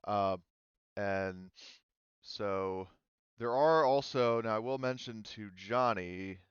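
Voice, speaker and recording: A man narrates a tabletop roleplaying session as game master. His voice is on the low side and very smooth, with halting, off-the-cuff phrasing. The recording is close and dry. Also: no room sound, dull audio.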